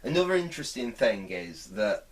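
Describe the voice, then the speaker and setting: A man giving unscripted hands-on repair commentary, thinking aloud in a halting way as he works. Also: lowish voice, sing-song, distant mic, low-quality recording. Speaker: host of a vintage radio repair channel.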